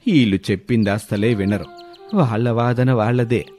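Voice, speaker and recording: Man playing a middle-aged village elder, exasperated and irritable; mid-pitched, grumbling. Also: steady tone, normal speed, darkish tone, soft background music, lightly acted.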